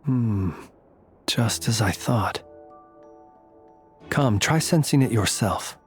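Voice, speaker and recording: An enigmatic, composed gentleman warrior from a video game. He speaks at a middling pitch with formal, solemn phrasing and an analytical calm. Light music sits behind the voice. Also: slow. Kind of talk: video game character acting.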